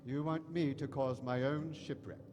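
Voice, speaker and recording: An actor playing a mischievous, petulant, lecherous alien villain with a whiny, wheedling delivery in a theatrical audio drama. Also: male voice, medium pitch, steady tone, moderate pace, natural voice. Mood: grudging. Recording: echoey stage hall, faint noise.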